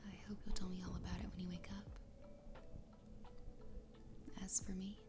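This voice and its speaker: A woman voicing an obsessively loving fox girl wife in a soft, breathy, tender ASMR roleplay whisper. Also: lowish voice, flat delivery, close to an everyday voice. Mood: dreamy, devoted. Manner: tender, slow, intimate. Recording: close whisper over background music. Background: music.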